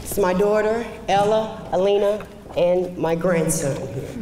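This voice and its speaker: A woman speaking at a rally, giving a plain, deliberate personal testimony. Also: fairly low pitch, very noisy. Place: large hall, on a stage. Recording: echoey hall, distant.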